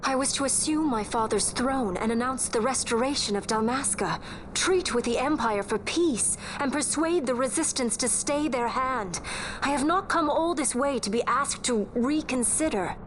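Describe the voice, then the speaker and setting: An actress playing a proud, earnest young princess in exile in a video game, formal and resolute. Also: female voice, high voice, moderate pace, a little hiss or hum, lightly acted. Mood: resolute, frustrated. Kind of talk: scripted game cutscene acting.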